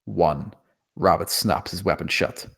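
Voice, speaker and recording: A man's voice: deep voice, steady tone, measured pace, vocal fry, a bit bright, slightly rough. A man reading scene descriptions as the narrator of a screenplay table read. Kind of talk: scripted narration of stage directions.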